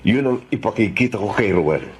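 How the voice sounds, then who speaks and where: A man's voice: lowish voice, lively intonation, fairly quick, slightly rough. A man with a Filipino accent acting a scheming, assured congressman in a Tagalog radio drama. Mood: sly, assured. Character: scheming smooth congressman, loyal grandson of a plotter.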